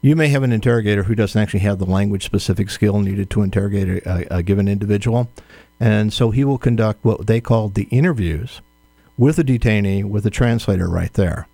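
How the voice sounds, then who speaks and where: A man answering interview questions as an author, in a measured, firm, explanatory way. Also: lowish voice, vocal fry, dark tone, dry recording.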